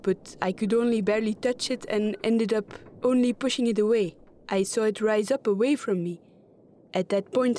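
A woman voicing a bold young woman diver in an audio drama, brisk and matter-of-fact. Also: medium pitch, dark tone, a little hiss or hum, lightly acted.

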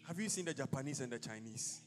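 A man's voice: mid-range voice, animated, fast talker, faint music behind. A man with a Ghanaian accent, an apostle preaching a sermon in a church service, declaiming in rising bursts and dropping into casual asides.

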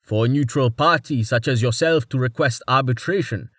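A man's voice: medium pitch, normal speed, fairly bright tone, no echo, clean studio sound, close to an everyday voice. A man voicing a winged bird-man nobleman who speaks in stiff, overly courteous formal phrases. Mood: pompous.

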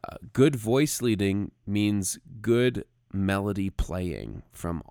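A man co-hosting a podcast in a casual style, talking haltingly and repeating words as he forms his thoughts. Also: on the low side, expressive, a little mellow, clean tone, no echo, studio quality. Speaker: podcast co-host, music arranger.

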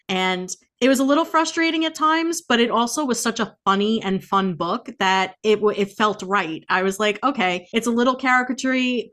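A woman podcast co-host with a mid-pitched voice talks in an informal, candid way. She gives her opinions freely, in the loose style of a casual book chat. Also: a bit bright.

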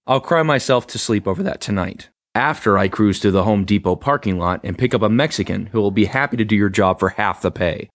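A man's voice: on the low side, brisk, few pauses, no echo, studio quality, lightly acted. A man voicing a bossy, mean male building manager in a read-aloud story, speaking curtly and with threats. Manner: curt, threatening.

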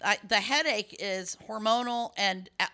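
A woman's voice: medium pitch, moderate pace, sharp tone. A middle-aged woman with a scratchy voice, hosting a casual chat and explaining at length with many restarts and fillers.

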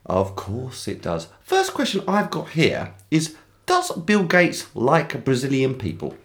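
A man's voice: mid-range voice, huge pitch swings, unhurried. A man co-hosting a casual podcast, trading dry, sarcastic quips. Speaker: podcast co-host. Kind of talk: casual banter.

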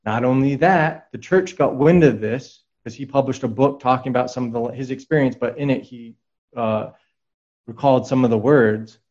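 A man's voice: mid-range voice, darkish tone, dull audio. A man talking casually as a guest on a podcast, rambling with frequent restarts and reading out quotes. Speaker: guest researcher and author.

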